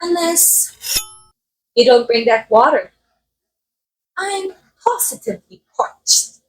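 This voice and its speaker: High female voice, acted, theatrical murderous diva, sweetly menacing, very swooping intonation, ASMR roleplay drama. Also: lively intonation, low-quality recording. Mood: teasing menace. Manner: theatrical, coy, condescending, drawn-out phrasing. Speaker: voice actress in audio roleplay. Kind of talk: ASMR roleplay acting, addressing the listener.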